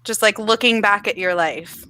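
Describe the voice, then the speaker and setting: A woman's voice: medium pitch, moderate pace, bright, forceful tone. A woman discussing a novel on a live online panel, earnest and rambling with many "like"s. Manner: rambling, heavy use of "like", earnest. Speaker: guest panelist. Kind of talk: casual book discussion.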